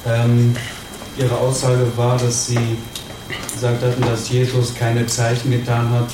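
A man's voice: medium pitch, completely flat delivery, a little slow. A man in the audience asking a question, hesitantly searching for his words. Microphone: far from the mic. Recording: distant, very echoey hall with noise.

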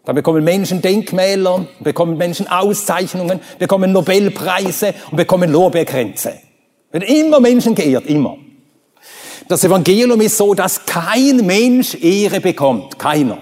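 A man whose first language is Swedish, preaching a sermon and reading scripture, emphatic and rising to high ringing exclamations. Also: animated, normal speed, a little mellow. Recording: long reverb of a large hall.

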